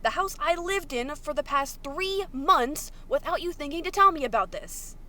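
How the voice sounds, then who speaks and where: A woman's voice: high-pitched, expressive, normal speed, bright, forceful tone, voice pushed up. A woman voicing a sarcastic, indignant young male apocalypse survivor in a story reading, accusatory and emphatic. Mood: angry, sarcastic.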